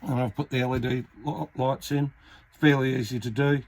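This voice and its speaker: A man giving an informal, matter-of-fact repair tutorial, pausing mid-sentence as he works. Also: medium pitch, fairly even intonation, darkish tone, poor audio. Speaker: hobbyist radio repairer, video host. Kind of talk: casual hands-on repair tutorial.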